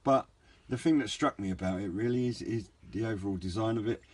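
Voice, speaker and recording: A man giving a casual, unscripted hobbyist product review in a hesitant, low-key, rambling way. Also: a little fast, a little mellow, no room sound. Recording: clear background noise, room ambience.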